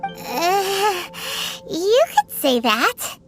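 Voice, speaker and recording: A squeaky little fairy sidekick from a game, crazy high and bouncy, cheeky, loud music under it. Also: female voice, big pitch swings, extremely slow, full character voice. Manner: squeaky, fretful, refers to herself by name. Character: tiny cheeky floating fairy girl companion. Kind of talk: video game voice acting.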